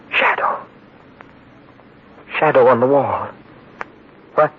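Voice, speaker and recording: An actor playing a guilt-ridden, haunted man in a 1940s radio drama, speaking in halting, repeated broken phrases on a dull, noisy old recording. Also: mid-range voice, husky, heavily acted. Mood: fearful wonder. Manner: halting, repetitive, broken phrases, muttering to himself. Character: haunted, guilt-ridden man, a wife-murderer trapped in a moonlit canyon.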